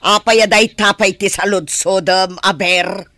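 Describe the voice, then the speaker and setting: Performer for a defensive, scornful in-law in a radio play; mid pitch, dry close sound. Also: steady tone, measured pace, bright, forceful tone, no echo. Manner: snappish, defensive. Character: sharp-tongued, evasive in-law parent. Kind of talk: amateur radio drama acting.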